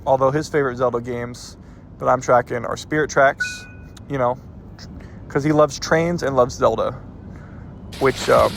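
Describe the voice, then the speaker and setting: A man's voice: medium pitch, fairly even intonation, normal speed, gravelly, long pauses, slight background noise. A man giving casual let's-play commentary, a little stuffed up, rambling and often correcting himself mid-sentence. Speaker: gameplay commentator.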